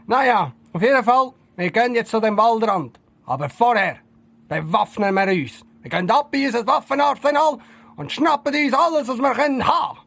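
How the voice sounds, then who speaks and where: A man playing a boastful, excitable and jumpy police detective with a raspy, creaky voice and a Swiss German accent in a comic audio play. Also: very high-pitched, moderate pace, exaggerated voice. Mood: eager, bossy.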